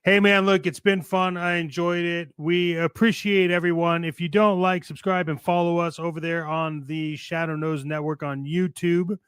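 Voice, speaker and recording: A man's voice: on the high side, steady tone, normal speed, hardly any pauses, a bit bright, clear, resonant tone, dry recording. A man hosting a podcast in a casual, chatty style, with a playful, mock-grand manner. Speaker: podcast host. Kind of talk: casual chat hosting a show.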